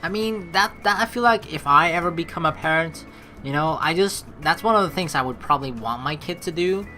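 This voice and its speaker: A young woman doing casual let's play commentary. Her voice is bright and very animated in pitch, and she talks in a loose, rambling, chatty way. Soft music plays behind her. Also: fairly quick. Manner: rambling, conversational, peppered with "you know". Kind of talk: casual game commentary mixed with reading dialogue aloud.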